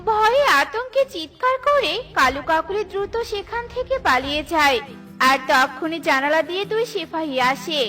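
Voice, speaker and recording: A woman's voice: extremely high pitch, animated, normal speed, sharp tone, faint music behind. A woman narrating a scripted children's story.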